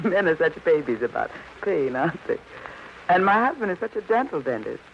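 A woman's voice: fairly low pitch, very animated, a little fast, gravelly. An actress with an American accent playing a cool, sardonic dentist's wife and mother in an arch, theatrical old-time radio crime drama style. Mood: arch, mocking. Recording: muffled noisy old radio recording.

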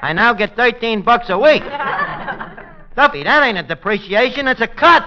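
High-pitched brash New wiseguy. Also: male voice, lively intonation, normal speed, crowd noise. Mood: indignant, scheming. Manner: malapropisms, wisecracking, slangy. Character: brash, streetwise tavern manager, mangling big words, male. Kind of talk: 1940s radio comedy acting. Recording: noisy, muffled old radio recording.

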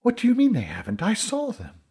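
A man voicing a fiery, headstrong young princess in a fantasy audiobook, with an indignant, forceful manner. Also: a little high, very animated, moderate pace, husky, voice pushed up. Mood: alarmed.

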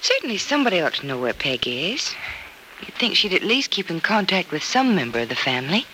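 A woman's voice: on the low side, sing-song, fairly quick, bright, forceful tone. An actress playing a level-headed, caring young woman with a polite, earnest manner in a radio soap opera in the style of the 1940s. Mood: cautious, doubtful. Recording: old noisy radio recording.

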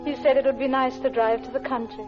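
A woman's voice: high-pitched, steady tone, fast, close to an everyday voice. An actress playing a guilty, lovelorn married Englishwoman in an old radio drama, with a clipped, genteel English accent and wistful restraint. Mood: sorrowful. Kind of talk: old radio drama acting, first-person narration. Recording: muffled old radio recording, music behind.

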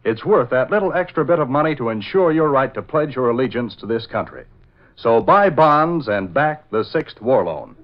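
A man's voice: medium pitch, expressive, moderate pace. A man announcing and narrating in scripted 1940s radio style, with dramatic, emphatic delivery. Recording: old lo-fi radio broadcast.

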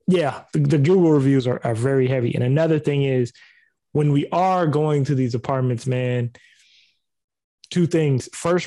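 A man's voice: medium pitch, mellow, no echo. A man chatting casually on a podcast about rentals, laid-back and loose.

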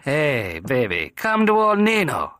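A man's voice: mid-range voice, wildly swooping pitch, a little slow, a bit bright, dry recording, clean studio sound, pitched above the performer's own voice. A man doing a boozy, cocky male crooner. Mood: swaggering. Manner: swaggering, playful. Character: boozy, grinning male singer, sick but cocky.

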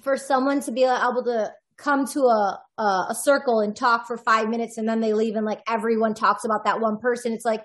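A woman's voice: fairly high pitch, level tone, brisk, few pauses, fairly bright tone. A woman voiceover artist, a podcast guest, talking in a reflective, rambling way with many restarts. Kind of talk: casual conversational interview.

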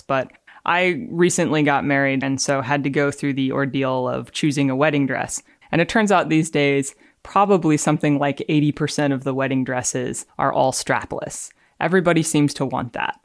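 A woman's voice: low voice, no echo, clean studio sound. A woman engineer speaking as a guest, explaining and reading aloud. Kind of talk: explanatory talk with dramatic readings.

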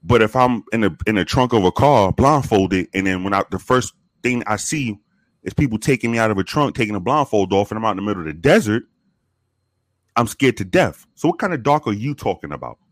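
A man from the Bronx with a very deep voice, telling profane, animated stories with many restarts in a casual interview. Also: brisk, dry recording. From the Bronx, New York. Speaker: guest, former police officer.

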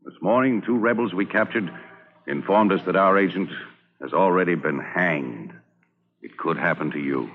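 A man's voice: deep voice, normal speed, phone-quality sound. A man playing a stern, commanding army general, authoritative and emphatic, in old-time radio drama style. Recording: band-limited vintage radio recording.

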